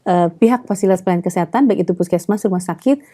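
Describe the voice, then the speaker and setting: Female Indonesian official, mellow and slightly low, conversational interview answers. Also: very fast, slightly rough. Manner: frequent 'gitu ya' tags. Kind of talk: spontaneous interview talk.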